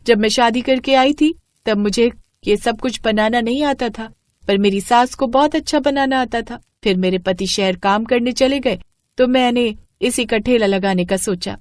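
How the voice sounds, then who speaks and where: A woman's voice: on the high side, normal speed, no room sound, lightly acted. A woman voicing a young, dutiful, hardworking daughter-in-law who sells street food in a moral story. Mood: modest, earnest.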